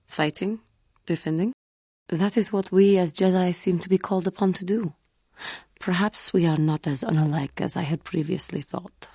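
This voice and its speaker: A low, dark female voice for a feline alien warrior monk in a video game. She is solemn and proud with old wounds underneath, choosing formal words in a guarded, earnest manner. The tone is husky and close. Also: no room sound. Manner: formal, measured, guarded, earnest, avoids contractions. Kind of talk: video game character dialogue.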